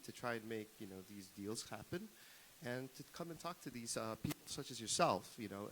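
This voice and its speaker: Man, middle-pitched voice, informal panel self-introduction, faint hiss on stage microphone. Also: low-quality recording. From United States. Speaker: panelist, investment professional.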